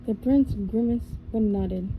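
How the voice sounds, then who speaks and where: A woman reading a fairy tale aloud in a gentle ASMR style, now and then stumbling and correcting herself. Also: mid-range voice, measured pace, phone-quality sound, slight background noise. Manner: gentle, with small slips and self-corrections. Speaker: ASMR storyteller. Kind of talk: soft ASMR story reading.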